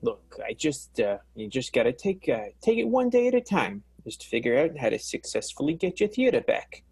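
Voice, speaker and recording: A man's voice: mid-range voice, sing-song, normal speed, a little mellow, soft background music. A man playing a kindly, heartbroken theater manager turned werewolf in an improvised radio drama, speaking hesitantly and repeating himself. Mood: reassuring, halting. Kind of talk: improvised radio soap opera acting.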